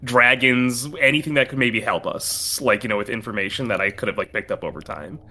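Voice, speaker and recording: A man's voice: medium pitch, a little fast, pushed and bright. A man playing a tabletop role-playing game, chatting casually and sometimes speaking for his character.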